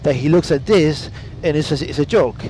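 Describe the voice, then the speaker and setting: A man's voice: on the high side, moderate pace, a little mellow, a little hiss or hum, rough recording. A man with an Italian accent, a native Italian speaker, chatting bluntly and with lots of swearing while live-streaming a flight simulator session. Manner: blunt, profane, reads chat aloud. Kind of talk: casual live-stream chat. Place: home flight simulator room.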